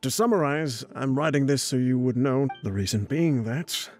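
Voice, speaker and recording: An actor playing an ailing, gruff older father in a video game, rambling and teasing as he narrates a letter. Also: medium pitch, normal speed, a little mellow, close to an everyday voice. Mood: wry, nostalgic. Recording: music playing under the voice.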